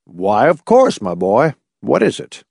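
A man's voice: mid-range voice, huge pitch swings, unhurried, vocal fry, darkish tone, dry recording, full character voice. Man acting out old male scientist, timid, fatherly, hesitant, genial, audiobook character, close dry sound. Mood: puzzled, obliging. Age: older.

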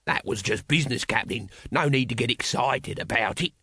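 Audiobook role: opportunistic male English hawker, done by a man, colloquial patter, lively intonation, pleasantly persuasive. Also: a little high, measured pace, gravelly, husky, no room sound, studio quality, full character voice. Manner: colloquial, ungrammatical salesman patter.

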